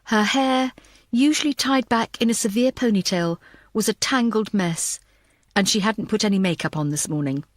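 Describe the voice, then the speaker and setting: A woman's voice: mid-range voice, normal speed, dry recording, studio quality. A woman reading a scripted thriller audiobook as narrator.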